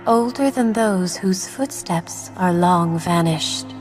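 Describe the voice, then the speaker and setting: A woman playing a gentle, solemn lamb spirit of death in a video game, softly and breathily, with calm measured delivery. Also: fairly low pitch. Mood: tender, melancholy. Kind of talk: dramatic game character dialogue. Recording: music behind the voice.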